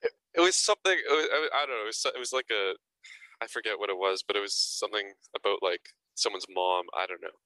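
A man's voice: lowish voice, quick pace, pushed and bright, no room sound, poor audio. A young man being interviewed as an actor guest, answering casually and hesitantly, often repeating words and adding fillers.

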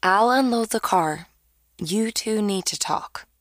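A woman plays a concerned wife and mother in an audio drama, gently firm. Her voice is medium in pitch and recorded very dry. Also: takes it slowly, dry recording, lightly acted.

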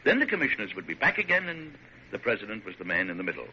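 An actor in a vintage radio drama playing a dignified, visionary American president, grand and declamatory, quick to exasperation. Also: male voice, on the low side, very animated, bright, forceful tone, heavily acted. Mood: narrating. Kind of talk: 1940s radio drama acting. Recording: noisy, muffled old radio broadcast.

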